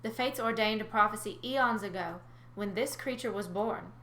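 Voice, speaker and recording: A grave, ageless huntress from a storybook , read by a woman, recounts old lore in solemn, archaic phrases. The voice is medium in pitch, with room echo around it. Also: moderate pace, a bit bright, lightly acted. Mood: solemn, ominous. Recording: roomy echo, faint background noise.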